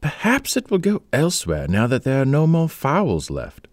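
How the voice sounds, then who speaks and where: A fretful, soft-hearted wife, voiced by a man reading aloud, pleading in a raised, anxious voice. Her voice swings up and down, and the sound is close and dry. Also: medium pitch, huge pitch swings, normal speed, no echo, voice pushed up.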